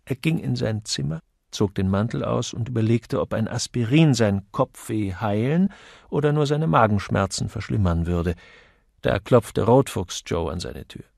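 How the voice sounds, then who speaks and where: Gravelly male audiobook narrator. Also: on the low side, normal speed, a little mellow, no echo, studio quality. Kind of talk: scripted literary narration.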